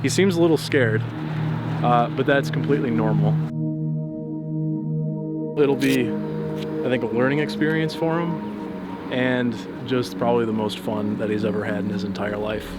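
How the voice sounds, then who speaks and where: A man's voice: mid-range voice, steady tone, moderate pace, long pauses, loud music behind the voice. A young adult man talking casually and enthusiastically, like a pilot encouraging and instructing someone. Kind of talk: casual flight instruction and chat.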